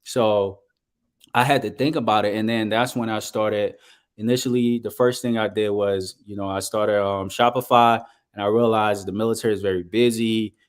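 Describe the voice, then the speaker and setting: A man's voice: on the low side, steady tone, clean tone. A man from Liberia in his thirties, a guest business coach, speaking earnestly and steadily with many fillers over a video call. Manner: earnest, measured, with frequent fillers. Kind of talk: casual interview talk.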